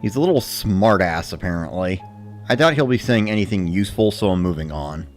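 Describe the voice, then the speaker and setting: A man giving a measured, matter-of-fact first-person narration of a scripted story. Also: deep voice, animated, faint music behind. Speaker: narrator of a dramatic reading.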